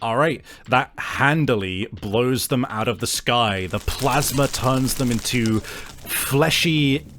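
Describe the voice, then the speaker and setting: Mid-pitched man running a tabletop game. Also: slow, a bit bright, slight background noise. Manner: casual, sweary. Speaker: game master. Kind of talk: improvised tabletop game narration.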